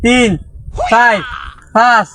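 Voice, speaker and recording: A young man with a rural Bangladeshi dialect, chatting casually in a playful game and repeating his phrases excitedly. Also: very high-pitched, drawn-out words, background noise. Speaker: player in a hide-and-seek skit. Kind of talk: casual improvised play chat. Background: loud music.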